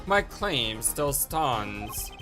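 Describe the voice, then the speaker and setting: A man voicing a smug, showy male prosecutor who speaks slowly and drawls his lines. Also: mid-range voice, sing-song, over music. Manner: slow, drawling, self-satisfied.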